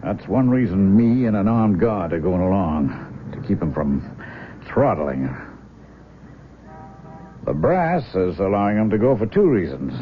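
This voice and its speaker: Deep-voiced, grave official. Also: male voice. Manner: grave, explanatory, confiding. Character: grave, confiding official, matter-of-fact yet sympathetic. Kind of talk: 1970s radio drama acting. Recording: tinny, faintly noisy old radio recording.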